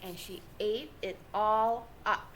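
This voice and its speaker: A woman reading a children's story aloud with expressive, dramatic narration. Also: mid-range voice, slow, a little hiss or hum. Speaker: storybook reader.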